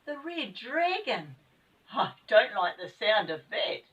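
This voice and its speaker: A woman voicing an astonished, excitable young girl in a children's storybook, exclaiming with wide-eyed wonder. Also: on the high side, slow pace, far from the mic, highs cut off, voice pushed up. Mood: alarmed.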